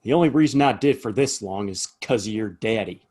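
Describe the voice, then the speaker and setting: A man's voice: on the low side, animated, brisk, darkish tone, slightly rough. A man acting a plain-spoken, weary saloon keeper in a western audio play, folksy and straight-talking. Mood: fed up.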